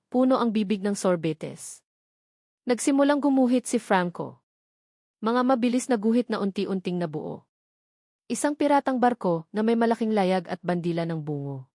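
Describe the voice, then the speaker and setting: A woman narrates a scripted dramatic tale. Her voice sits at a middle pitch for a woman, and the sound is dry, with next to no room on it. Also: a little mellow, no room sound.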